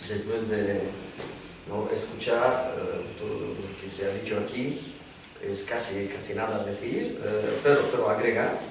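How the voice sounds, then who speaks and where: Guy on a panel, slow and halting, lots of ums, far off in a boomy room. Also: fairly even intonation, gravelly, very echoey, background noise. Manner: halting, many filler hesitations. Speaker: panelist, literary scholar. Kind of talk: round-table talk. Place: lecture room.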